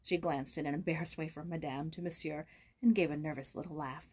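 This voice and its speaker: Low-pitched woman reading a story. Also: fairly even intonation, fairly quick, darkish tone, breathy edge. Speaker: audiobook reader. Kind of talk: expressive scripted children's story narration.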